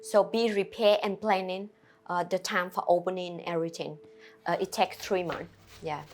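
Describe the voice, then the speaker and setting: A woman from Vietnam with a Vietnamese accent, a restaurant owner answering interview questions in halting, simple non-native English with many fillers. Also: fairly low pitch, fairly even intonation.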